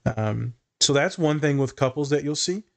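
A man's voice: mid-range voice, normal speed. Chatty man hosting a podcast. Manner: relaxed, chatty, jokey. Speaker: podcast host, therapist. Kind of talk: casual podcast chat.

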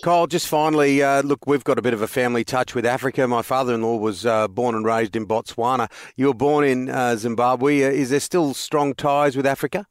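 A man hosting a sports podcast, asking chatty questions in a relaxed way. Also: medium pitch, brisk, few pauses, dry recording, studio quality. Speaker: podcast host. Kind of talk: casual interviewing.

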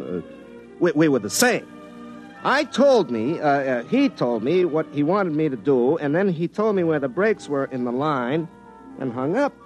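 A man's voice: mid-range voice, darkish tone, lightly acted. An actor playing a folksy young American telephone linesman and tinkerer in classic radio drama, stammering and correcting himself as he rambles. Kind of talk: classic radio drama acting and first-person narration.

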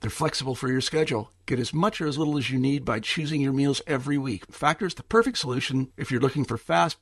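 A man, the show's host, reading a scripted sponsor ad in a smooth, even delivery. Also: mid-range voice, no echo, studio quality. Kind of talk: read sponsor ad.

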